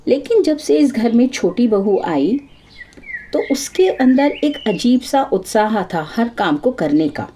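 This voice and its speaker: A woman's voice: fairly high pitch, very animated, slow pace, mellow, a little hiss or hum. A woman storyteller narrating a scripted folk tale.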